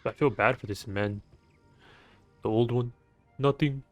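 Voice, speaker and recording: A man's voice: mid-range voice, measured pace, no room sound, soft background music. A young man giving casual, offhand gameplay commentary as a video host, laid-back in manner.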